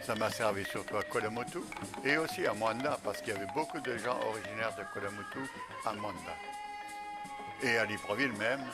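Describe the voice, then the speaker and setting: An older man from Alsace, a retired missionary bishop, recalling his life slowly with many pauses. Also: on the low side, loud music behind the voice. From Alsace, France. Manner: slow, halting recollection with many pauses. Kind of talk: reminiscing interview.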